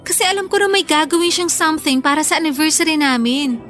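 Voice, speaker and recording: High-voiced sulky young Filipino girlfriend. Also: fast, fairly bright tone, soft background music. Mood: frustrated. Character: young working woman, frustrated and doubtful girlfriend. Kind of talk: radio drama acting.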